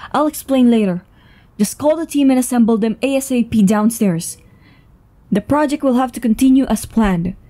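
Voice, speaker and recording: A woman's voice: a little high, dark tone, lightly acted. A woman voice actor playing a tense, foul-mouthed, commanding woman with a secret in a dramatic audio roleplay, urgent and swearing. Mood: exasperated, furious. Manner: swearing, urgent, breathy sighs and stammers. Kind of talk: dramatic ASMR roleplay acting.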